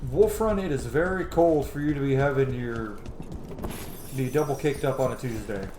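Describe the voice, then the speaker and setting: A man's voice: medium pitch, moderate pace, mellow, slight background noise. Roomy-sounding man doing casual gameplay commentary. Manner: informal, slangy, thinks aloud. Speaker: gaming channel host. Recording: roomy sound with game music behind. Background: video game music.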